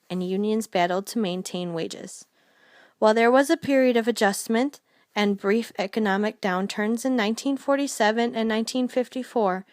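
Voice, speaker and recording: A woman's voice: mid-range voice, dry recording, clean studio sound. A woman narrating a scripted documentary, reading aloud and now and then stumbling over a word.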